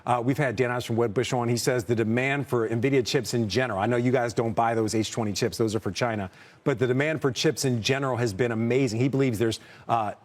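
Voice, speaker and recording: Male business news anchor, brisk talker, pointed questions, live TV interview. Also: mid-range voice, steady tone. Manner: reads long quotes, asks pointed questions.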